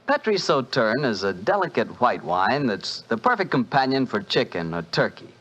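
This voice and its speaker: A male announcer reading a smooth, genial wine commercial and hosting a 1940s radio show. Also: medium pitch, sing-song, moderate pace, a bit bright. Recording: dull 1940s radio broadcast.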